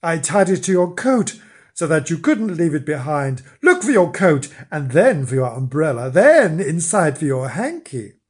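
A man's voice: on the high side, huge pitch swings, takes it slowly, gravelly, husky, full character voice. A man voicing a stern, scolding middle-aged wife in a children's story, bossy and cross.

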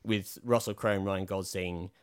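A man with a lowish voice talks over a film in loose, hesitant chat. He reaches for his words, stacking up fillers and restarts, and his voice is slightly croaky. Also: steady tone, normal speed. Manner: rambling, hesitant, filler-heavy. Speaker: podcast co-host. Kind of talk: casual film review chat.

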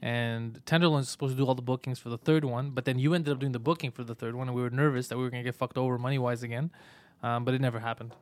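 Brief: man, comedian type, rapid swearing storyteller, dry booth sound. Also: mid-range voice, fairly even intonation, dry recording. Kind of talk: casual podcast chat.

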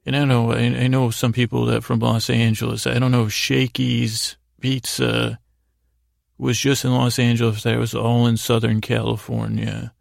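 A middle-aged American man hosting a bedtime podcast, telling meandering stories in a gravelly voice, haltingly trailing off and wandering between thoughts. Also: medium pitch, monotone, no room sound, studio quality. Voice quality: gravelly, creaky voice. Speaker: podcast host. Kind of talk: rambling, meandering bedtime storytelling monologue.

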